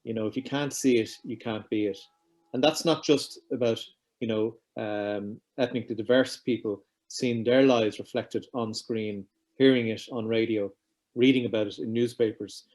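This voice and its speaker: A man's voice: medium pitch, steady tone, normal speed, a little mellow. A man speaking on a panel, emphatic and casual with fillers. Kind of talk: panel discussion.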